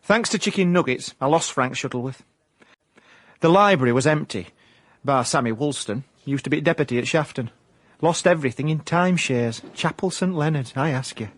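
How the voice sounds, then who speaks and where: A man's voice: a little high, expressive, moderate pace, no room sound. An actor playing a wry amateur private detective with a South Yorkshire accent, narrating his case in radio comedy drama. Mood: wry, rueful.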